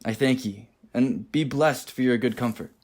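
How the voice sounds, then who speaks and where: A hungry, noble-hearted young gentleman acted by a man with a medium-pitched voice. He speaks Shakespearean lines with earnest, theatrical urgency, as in a radio play. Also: moderate pace, close to an everyday voice. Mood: earnest, grateful.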